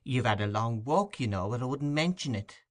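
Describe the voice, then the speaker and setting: A man voicing a fawning, scheming woman who runs an orphans' home, gushing and wheedling in a high put-on voice. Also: animated, moderate pace, lightly acted. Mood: coaxing. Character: fawning scheming middle-aged woman nurse.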